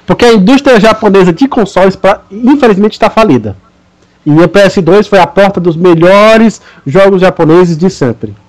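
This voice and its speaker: A man with a Brazilian Portuguese accent and a notably high voice co-hosts a casual gaming podcast. He talks emphatically and lectures his listeners. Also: normal speed, poor audio. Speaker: podcast co-host.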